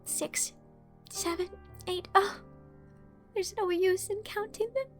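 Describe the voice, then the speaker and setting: A woman acting out a high-pitched, tearful teenage girl. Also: takes it slowly, faint music behind. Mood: tearful, miserable. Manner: emotional, expressive, wordy. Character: teenage girl student, dreamy, earnest, dramatic, tearfully homesick. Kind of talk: audiobook character acting.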